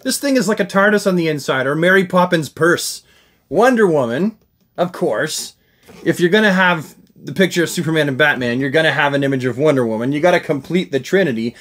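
A middle-aged man presents an unboxing review in a casual, excitable way. His voice sits a little high for a man and swings widely in pitch, with animated exclamations throughout. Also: fairly quick. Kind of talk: casual enthusiastic unboxing vlog. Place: small indoor room lined with shelves of collectibles.

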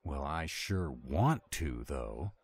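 A man playing a grumpy, self-doubting were-dragon cook in a roleplay audio drama, gruff but tender and grumbling reluctantly. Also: very deep voice, expressive, slow pace, full character voice.

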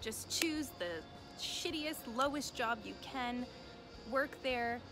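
A woman's voice: on the high side, lively intonation, slow, music in the background. A thirty-year-old American woman chatting casually to camera as she answers viewer questions, informal and rambling with emphatic repetition. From United States. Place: outdoor rooftop balcony above a city.

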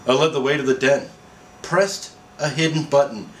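A man's voice: medium pitch, moderate pace. Middle-aged man reading stories, roomy sound. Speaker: storyteller reading aloud. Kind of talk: casual chat and informal story reading. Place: small indoor room. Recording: roomy echo, faint background noise.

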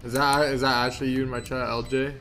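A man's voice: medium pitch, flat delivery, quick pace. A young man chatting casually as he streams a game, teasing and swearing in slang. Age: young adult. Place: indoor room at a desk. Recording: keyboard clatter, game noise. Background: typing, clicks, game sounds.

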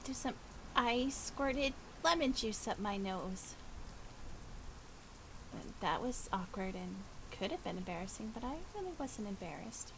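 A woman acts out a patient woman in an online fantasy roleplay. She talks at a medium pitch in short, halting phrases with a gently reassuring manner. Rain is clearly heard behind her voice. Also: animated, a little hiss or hum, poor audio.

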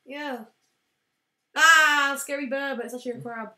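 A young woman casually commentating a game, excitable and prone to shouting at wins and losses. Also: high-pitched, moderate pace. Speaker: let's play host. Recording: roomy echo.

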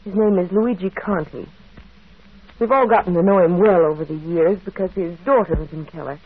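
An actress playing a polite, earnest young office secretary in an old radio drama. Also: female voice, lowish voice, fairly quick, husky. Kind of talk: 1940s radio drama acting. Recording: muffled old radio broadcast.

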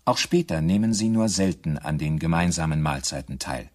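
A man narrating a German radio drama in a measured, matter-of-fact, scripted style. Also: extremely low pitch, animated, a little mellow. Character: sober, knowing male documentary-style narrator.

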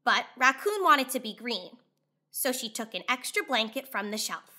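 High, bright woman reading a children's storybook. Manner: animated, clearly enunciated, deliberate delivery. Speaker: children's read-aloud host. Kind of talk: expressive storybook narration for children.

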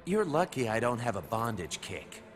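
A man's voice: mid-range voice, sing-song, normal speed, music in the background, natural voice. An actor playing a brash, crude, cocky male assassin in a video game, swaggering, sarcastic and slangy. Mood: cocky, sardonic. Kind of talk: video game cutscene acting.

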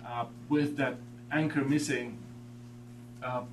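A middle-aged man with a Slovak accent delivers an academic talk in a seminar room, stumbling over repeated words as he finds his way. His voice sits at an ordinary pitch for a man. It is caught from a distance, with room echo and a constant hum. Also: level tone. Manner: halting, repeats words often while searching. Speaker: guest lecturer. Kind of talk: academic lecture. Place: seminar room with a long table. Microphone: far room camera. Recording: echoey room from far off, background hum.

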